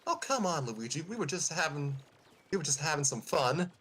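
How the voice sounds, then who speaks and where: A man voicing an excitable, friendly cartoon turtle mail carrier in an animated, exclaiming manner. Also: fairly high pitch, a little fast, a little hiss or hum, voice pushed up. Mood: coaxing. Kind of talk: fan script read-through, cartoon voice.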